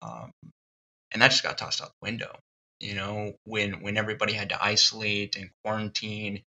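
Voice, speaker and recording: A man hosting a solo podcast, thinking out loud in a thoughtful, halting way with many ums and restarts. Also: fairly low pitch, lo-fi sound. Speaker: podcast host. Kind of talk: reflective solo podcast monologue.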